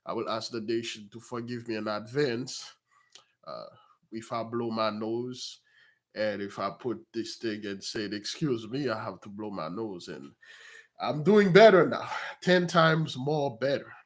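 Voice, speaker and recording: A man leads a religious livestream in a hoarse, congested voice of average pitch. He has a bad cold and addresses his followers in a formal, reverent way. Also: a little slow. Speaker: religious teacher hosting a livestream.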